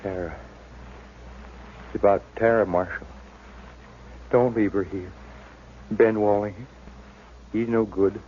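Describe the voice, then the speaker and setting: Slow, dying old frontier homesteader. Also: male voice, fairly low pitch, steady tone. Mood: weak, pleading. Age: older. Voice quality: weak, failing voice. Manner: halting, labored, plain rustic speech. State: gravely ill, failing. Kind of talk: 1950s radio western acting. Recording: dull, noisy old 1950s radio recording.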